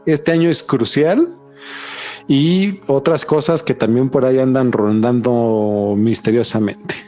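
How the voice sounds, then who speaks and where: A man with a Mexican accent hosting a podcast in casual chat, slangy and animated, repeating words for emphasis. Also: mid-range voice, measured pace, faint music behind. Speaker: podcast host.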